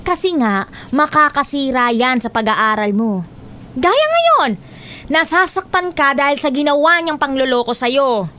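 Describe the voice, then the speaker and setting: A woman's voice: sits high, lively intonation, measured pace, pushed and bright, heavily acted. A woman playing a disapproving, protective aunt in a Filipino radio drama, emphatic and chiding. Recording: faint ambient noise behind.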